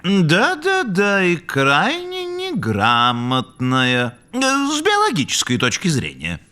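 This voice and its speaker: A man's voice: high-pitched, wildly swooping pitch, slow, pushed and bright, dry recording, full character voice. A man voicing a pedantic, sardonic know-it-all scientist creature in a cartoon, lecturing pompously as he explains science. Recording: music behind the voice.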